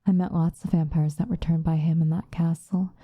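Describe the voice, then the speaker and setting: Woman playing a dark, hushed, seductive vampire. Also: low-pitched, level tone, dry recording, clean studio sound. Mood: tender, nostalgic. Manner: soft, breathy, drifting into whispers, unhurried. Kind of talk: ASMR roleplay, intimate confessional monologue. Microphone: close microphone, dry.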